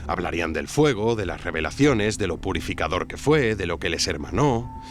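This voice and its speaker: A man's voice: deep voice, sing-song, moderate pace, slight background noise. A man with an accent from Spain giving scripted, dramatic documentary narration. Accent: Spanish (Spain).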